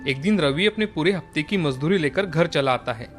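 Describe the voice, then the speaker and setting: A man narrating an animated moral story in a steady, plain storytelling manner. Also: fairly high pitch, brisk, soft background music.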